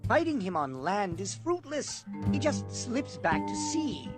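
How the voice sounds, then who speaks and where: A man puts on a blunt, scornful hedge knight from a fantasy audiobook. The delivery is theatrical and slow, with lively swings in pitch, and loud music plays behind it. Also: exaggerated voice. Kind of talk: audiobook storytelling with character voices.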